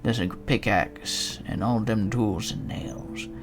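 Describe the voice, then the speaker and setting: A man's voice: fairly low pitch, fairly even intonation, slow, over music. A man voicing an elderly, matter-of-fact village woman with folksy, rustic phrasing in a fantasy game reading. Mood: grave, measured. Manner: folksy phrasing with tag endings.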